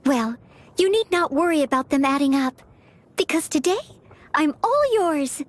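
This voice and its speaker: A woman's voice: high-pitched, lively intonation, measured pace, no room sound. A woman voicing a polite, self-effacing young maid and aspiring knight in a video game, eager to please. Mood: devoted, bright. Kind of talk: video game character voice lines.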